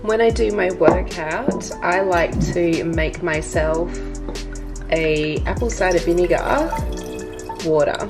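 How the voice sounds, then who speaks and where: A woman talks over loud music in a slightly croaky, lowish voice. She sounds soft and reflective and takes her time, like a casual vlog voice-over. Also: fairly even intonation, takes it slowly. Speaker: lifestyle vlogger.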